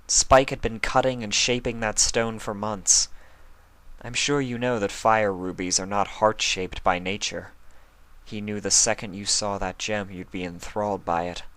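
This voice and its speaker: A wise pony princess speaks with calm, measured solemnity, as a man reading a storybook puts her on. The voice stays fairly low. The recording is dry and close. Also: dry recording, natural voice.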